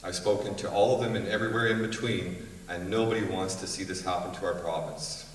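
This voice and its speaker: A man running for office states his case firmly in short claims and stops to correct himself at times. His voice is very deep. It comes from a distance in a large hall with long reverb and faint noise. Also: steady tone, a little fast. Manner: firm, declarative, self-correcting. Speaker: election candidate. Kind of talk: prepared debate statement.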